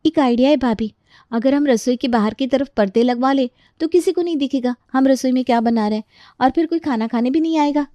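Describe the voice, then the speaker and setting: A woman's voice: sits high, a little fast, mellow, no echo, pitched above the performer's own voice, lightly acted. A woman voicing a keen, girlish younger sister-in-law in a children's cartoon story.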